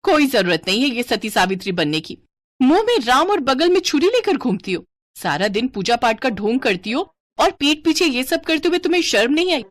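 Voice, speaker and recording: A woman's voice: sits high, big pitch swings, normal speed, dry recording, voice pushed up. A woman voicing an arrogant, scheming young sister-in-law in a melodramatic, accusing manner for a story. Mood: scornful, accusing.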